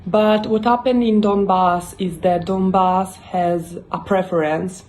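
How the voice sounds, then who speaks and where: A young woman from Italy with an Italian accent hosts her channel and explains the news in earnest, halting English full of fillers. English is not her first language. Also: mid-range voice, fairly even intonation, darkish tone, poor audio. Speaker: YouTube channel host. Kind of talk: casual explainer talk to camera.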